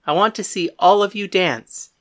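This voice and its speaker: A woman's voice: mid-range voice, moderate pace, close to an everyday voice. A woman voicing a bold, cocky woman fighter who taunts and threatens with dry wit. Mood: gracious. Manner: mocking, coolly threatening.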